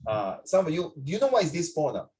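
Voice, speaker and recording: A man's voice: fairly high pitch, sing-song, quick pace, dark tone, slightly rough. A man with a Singaporean English accent, recovering from flu, teaching a social studies class over a video call, switching between English and Mandarin and checking in with students by name.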